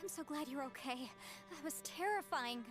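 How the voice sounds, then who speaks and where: A woman's voice: high-pitched, normal speed, soft background music, lightly acted. An actress playing an earnest, caring young girl companion in a fantasy video game. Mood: relieved.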